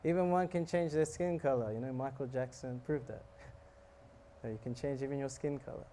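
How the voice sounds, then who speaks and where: Man with a mellow voice doing a chatty scripture talk, jokes around with the crowd, bit of background hum. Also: mid-range voice, moderate pace. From South Africa. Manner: conversational, joking, asks the audience questions. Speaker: monk giving a scripture talk. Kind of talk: casual sermon to a live audience.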